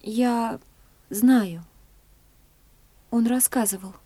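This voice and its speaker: A woman's voice: a little high, fairly even intonation, drawn-out words, dark tone, no room sound. An actress playing an anxious, devoted woman who is an uneasy guest, in a radio play. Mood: reserved, uneasy.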